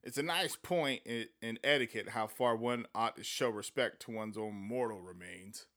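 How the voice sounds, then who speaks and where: Man playing a sardonic older gent, low and dry, slow delivery. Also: a bit bright, natural voice. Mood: wry.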